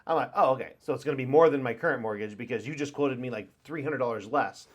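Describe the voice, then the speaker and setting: A man's voice: mid-range voice, fast talker. Rambling male podcast co-host. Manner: rambling, acts out phone calls in quoted voices. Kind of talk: casual chat.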